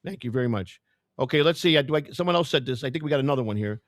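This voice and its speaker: A middle-aged man with a Chicago accent co-hosting a casual livestream chat, halting and repeating himself as he talks. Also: medium pitch, expressive, no room sound, clean studio sound. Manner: halting, repeats words and restarts often. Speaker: co-host.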